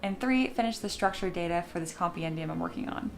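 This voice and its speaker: A woman's voice: on the low side, expressive, fast, creaky, soft background music. Sleepy, slightly scratchy-voiced woman teaching casually. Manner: informal, chatty, talks to herself and viewers. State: sleepy, voice not yet warmed up. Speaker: 3D sculpting teacher and livestream host. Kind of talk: casual livestream tutorial, thinking aloud while working.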